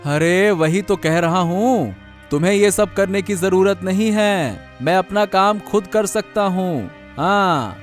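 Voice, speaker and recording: A man's voice: sits high, slow, soft background music. A man voicing a kind, devoted young husband in a cartoon story, earnest and a little hesitant. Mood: concerned. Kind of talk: Hindi cartoon story voice acting.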